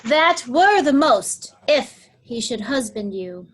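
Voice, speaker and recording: A woman playing a scornful, scheming princess in Shakespearean reader's theatre, with mocking, drawn-out delivery. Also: high-pitched, very animated, exaggerated voice.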